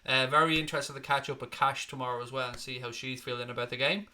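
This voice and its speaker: A young man with an Irish accent talks through viewer comments on a live stream in a loose, blunt, chatty way, dropping the odd swear word. His voice has a very bright, forceful edge that cuts through. The pitch sits in an ordinary middle range. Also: flat delivery, fast. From Ireland. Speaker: fan channel host.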